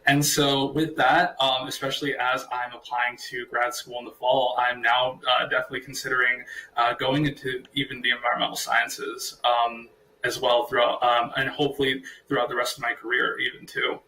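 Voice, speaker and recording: Young male intern, earnest, filler-heavy, bright tone. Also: mid-range voice, flat delivery, normal speed, slight background noise, poor audio. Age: young adult. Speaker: interviewed intern, engineering student. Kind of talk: casual video-call interview. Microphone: video call.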